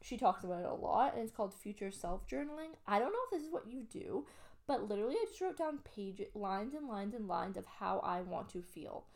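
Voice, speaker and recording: A woman's voice: mid-range voice, animated, brisk, darkish tone. A young adult woman from Canada, chatting casually and upbeat as a podcast co-host. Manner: chatty, upbeat, lots of fillers. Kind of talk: casual chat.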